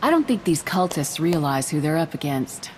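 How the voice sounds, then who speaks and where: An actress playing a tough, confident soldier in a video game, speaking in a blunt, businesslike way. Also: female voice, low-pitched, very animated, moderate pace, slight background noise. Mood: resolute. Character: tough, direct female trooper, confident military officer.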